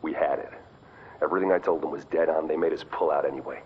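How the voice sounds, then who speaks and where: An actor playing a bitter, low-key male field agent in a video game. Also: steady tone, fast talker, narrow, tinny audio, a little hiss or hum. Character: bitter male agent just back from combat. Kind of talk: video game cutscene dialogue.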